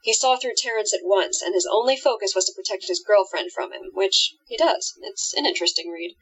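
A woman playing an earnest, thoughtful investigator in a radio drama, explaining things in a measured way. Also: medium pitch, slightly rough, close to an everyday voice, low-quality recording.

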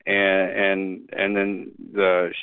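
A man with a fairly low voice speaks earnestly as a guest in a casual conversation. He is emphatic and often restarts his sentences. His voice comes through a dry, tinny, phone-like line. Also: completely flat delivery, extremely slow, vocal fry, smooth, no room sound. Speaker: podcast guest.

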